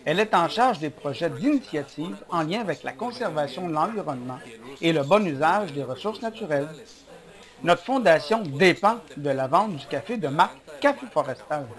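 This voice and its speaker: Voice-over reader, male, slightly high voice, steady and measured delivery. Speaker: voice-over translator. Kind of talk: read voice-over.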